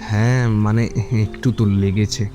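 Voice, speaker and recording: A man's voice: fairly low pitch, fairly even intonation, a little slow, over music, lightly acted. An actor playing a troubled but determined young man in an audio drama, with a hesitant, brooding way of talking. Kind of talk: Bengali audio drama acting.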